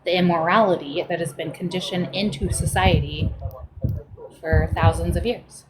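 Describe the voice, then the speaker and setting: A woman with a fairly low voice talks casually as a guest on a live stream. She is opinionated and insistent. Clear background noise sits behind her voice. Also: fairly even intonation, moderate pace. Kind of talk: casual chat.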